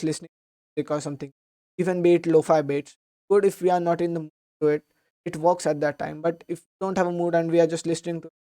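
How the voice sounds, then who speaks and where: A young man talks through a book summary in a steady, earnest way, now and then restarting a phrase. His voice is mellow and soft-edged, with a slight hoarseness from a sore throat. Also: on the high side. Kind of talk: explanatory book summary talk.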